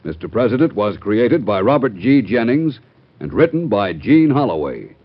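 A man's voice: fairly low pitch, sing-song, normal speed. A man with an American accent announcing credits from a script in mid-century radio style. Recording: muffled vintage radio broadcast recording.

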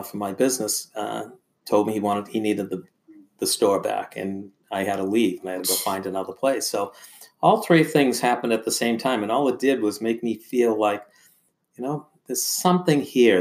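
Low-voiced older man reminiscing. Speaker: podcast guest. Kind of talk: conversational personal storytelling.

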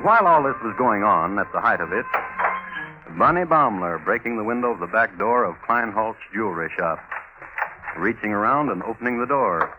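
An actor playing a wry, theatrical narrator of a comic hard-luck tale, speaking in clipped dramatic fragments. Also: male voice, fairly low pitch, lively intonation, normal speed, phone-quality sound, over music, lightly acted. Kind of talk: dramatic radio storytelling.

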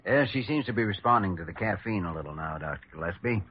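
An actor playing an earnest, inquisitive American hospital doctor, speaking sincerely in a mid-century radio drama. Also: male voice, deep voice, expressive, quick pace. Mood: concerned. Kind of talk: 1940s-50s American radio drama acting. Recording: muffled old radio recording with music.

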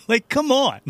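A man hosting a casual podcast interview, conversational and agreeable, with a halting way of phrasing things. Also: extremely high pitch, dry recording. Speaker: podcast host.

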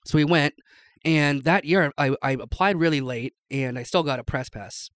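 A man's voice: fairly high pitch, moderate pace, a bit bright, no echo. Rambling man telling stories, dry sound. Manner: rambling, self-interrupting storyteller with restarts. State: recovering from lost voice. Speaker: podcast guest. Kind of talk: casual chat.

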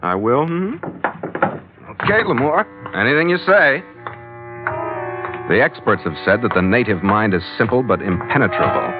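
A tinny vintage radio recording, with music behind, carries an actor as a tough male sea captain. His medium-pitched voice moves animatedly through wry, hard-boiled dialogue and narration in a forties adventure serial style. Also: big pitch swings, normal speed, pauses often, close to an everyday voice. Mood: irritated, tough. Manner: sardonic, terse. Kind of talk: 1940s-style radio drama acting and narration.

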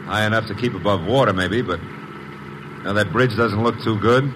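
Wisecracking radio detective's assistant, played by a male actor: lowish male voice, breezy and slangy, noisy old recording. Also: moderate pace, close to an everyday voice. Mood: wary. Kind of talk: old-time radio mystery acting. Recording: old radio recording, clear background noise.

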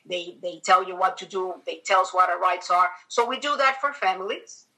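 A woman's voice: fairly low pitch, a little fast, sharp tone, poor audio. A middle-aged woman with a Venezuelan accent, a native Spanish speaker, chatting emphatically as an interview guest. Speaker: podcast guest. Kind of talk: conversational personal storytelling in an interview.

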